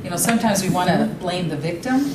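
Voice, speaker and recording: Female talk radio host, loud and plain-spoken, lowish voice, echoey distant recording. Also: animated, quick pace. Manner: says she has a very loud voice. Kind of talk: live radio talk with guests. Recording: echoey room, distant mic, loud noise.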